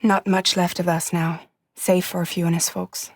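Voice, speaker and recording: An actress playing a guarded, wry woman courier in a post-apocalyptic video game, with soft, breathy, measured and deadpan delivery. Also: fairly low pitch, no echo. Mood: quiet, guarded. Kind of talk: cinematic video game acting.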